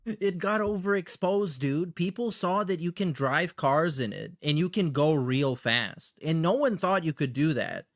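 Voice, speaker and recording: A man's voice: on the high side, animated, a little slow, dry recording, studio quality. A man hosting a livestream with casual, slangy, sweary commentary and a sarcastic edge. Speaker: livestream host. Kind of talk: casual chat with shout-outs and commentary.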